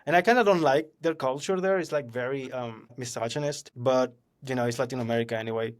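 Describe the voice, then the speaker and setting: A man in his thirties from Venezuela with a Venezuelan Spanish accent, chatting casually on a podcast, animated and laughing often. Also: mid-range voice, fast. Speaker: podcast host.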